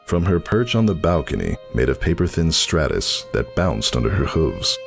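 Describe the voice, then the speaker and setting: A man's voice: a bass voice, big pitch swings, normal speed, vocal fry, soft background music. A man narrating a story as a scripted dramatic reading.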